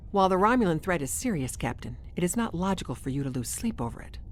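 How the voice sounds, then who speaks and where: A woman performs a composed female alien officer in a science fiction audio drama. Her voice sits fairly low, and she speaks formally and precisely, with cool logic. Faint music plays beneath. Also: sing-song, fast. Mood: calm, concerned.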